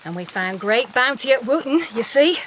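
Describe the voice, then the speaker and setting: An actress playing a pious, reproving Victorian charity nun in a radio drama, polite, formal and restrained. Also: female voice, on the high side, expressive, normal speed, fairly bright tone, a little hiss or hum. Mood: pious, reserved. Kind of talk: period radio drama acting.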